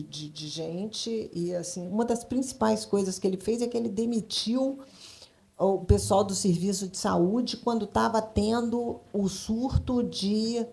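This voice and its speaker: A Brazilian woman speaking off the cuff on a panel, halting and emphatic, repeating phrases as she thinks aloud. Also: medium pitch, gravelly, warm tone. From Brazil, grew up in Brasília. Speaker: panel speaker, creative consultant in advertising. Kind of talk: spontaneous panel discussion.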